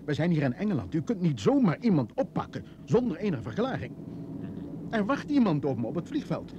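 High-pitched, panicky, protesting man. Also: huge pitch swings, brisk, dull audio. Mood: indignant pleading. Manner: stammering, protesting. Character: panicked, indignant man wrongly detained by security. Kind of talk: radio drama acting. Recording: old radio play with background noise.

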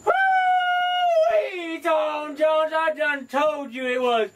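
An actor playing a gleeful, cackling old backwoods coot in a western horror film, folksy, whooping and excitable. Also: male voice, very high-pitched, drawn-out words, distant mic, heavily acted. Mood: gleeful gloating.

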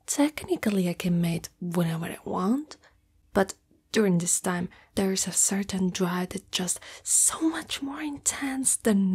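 A woman voicing a shy, lovestruck young spider monster girlfriend in an ASMR roleplay, hesitant, tender and intimate in a breathy whisper. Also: medium pitch, a little mellow. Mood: yearning. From Italy.

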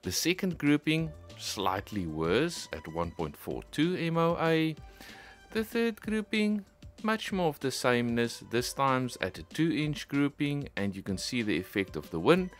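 A middle-aged man presenting a shooting vlog in a casual, expressive way, talking straight to his viewers. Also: medium pitch, unhurried, soft background music. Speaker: host of an airgun shooting channel.

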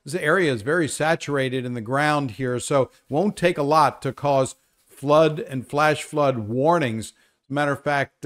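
A man presenting a live, unscripted weather briefing, explaining things in a conversational way. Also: mid-range voice.

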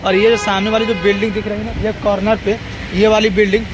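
A man's voice: sits high, level tone, fast. A young man greeting viewers and pointing out buildings in a chatty way, filming himself with a phone outdoors on a city street. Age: young adult. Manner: chatty, pointing things out while walking. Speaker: vlogger. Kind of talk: casual vlog greeting to camera. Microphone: phone held at arm's length. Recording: street traffic noise behind.

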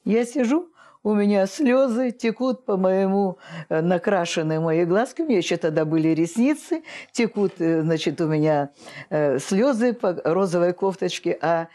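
Expressive older woman telling stories. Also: fairly low pitch, moderate pace. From Russia. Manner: expressive, theatrical, acts out quotes and song lines. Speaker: folk choir director, interview guest. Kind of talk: reflective interview storytelling. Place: indoor choir rehearsal room.

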